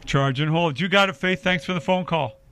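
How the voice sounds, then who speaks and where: A man's voice: a little high, fairly quick, a bit bright, clean tone. A man hosting a live radio shopping show, reading deals and taking calls, with lots of uh fillers. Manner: chatty, many uh fillers. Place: radio studio.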